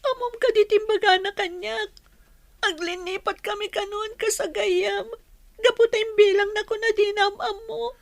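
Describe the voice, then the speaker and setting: A young Ilocano woman in a radio drama, with a very high voice in a dry recording. She is a loyal friend, hurt and earnest, always pleading to be understood. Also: fairly even intonation, moderate pace, creaky, no room sound. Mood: hurt, tearful.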